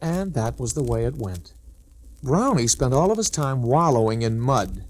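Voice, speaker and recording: A man's voice: mid-range voice, sing-song, moderate pace. A man narrating a scripted children's story in 1960s storybook-record style.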